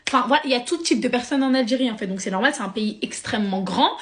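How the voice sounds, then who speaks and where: A young woman from France telling a sarcastic, animated story straight to her viewers. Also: on the high side, fast, slight background noise. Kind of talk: casual storytime monologue.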